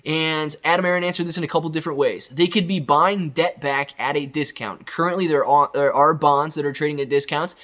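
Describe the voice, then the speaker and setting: A man's voice: a little high, fairly even intonation, a little fast, few pauses, sharp tone. A man hosting a casual, off-the-cuff news recap, talking straight to viewers in an emphatic, enthusiastic, conversational way. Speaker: video host recapping company news.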